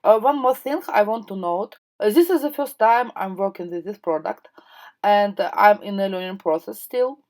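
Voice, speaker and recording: A woman's voice that is hoarse while she gets over a cold, with a pitch in the middle range. She talks through each step in a steady, patient way. Her tutorial voice-over stops often on short "uh" pauses. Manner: calm, step-by-step explaining with frequent "uh" pauses. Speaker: crafting tutorial host.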